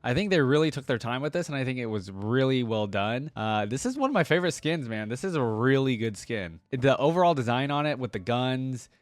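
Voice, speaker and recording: A man's voice: mid-range voice, expressive, a little fast, few pauses, dry recording. A man hosting a reaction video, talking casually and giving opinions between bits of text read aloud. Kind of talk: casual reaction commentary mixed with reading wiki text aloud.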